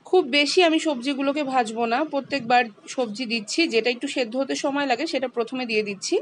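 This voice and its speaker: A woman, calmly walking through a home cooking recipe step by step as the channel's host. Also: high voice, fast, slight background noise. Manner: calm, instructive, explains each step.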